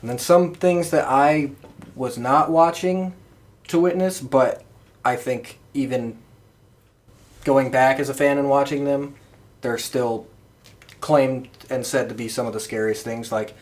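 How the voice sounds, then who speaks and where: A man's voice: mid-range voice, fairly even intonation, unhurried, long pauses. A young man chatting casually as a podcast host, rambling through memories like an eager fan. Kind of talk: casual chat, recounting memories.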